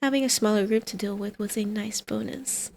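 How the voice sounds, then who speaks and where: A woman's voice: medium pitch, fairly even intonation, a little fast, gravelly, darkish tone, lightly acted. A woman playing a brazen, scheming supervillain mother in an ASMR roleplay, teasing, smug and coaxing.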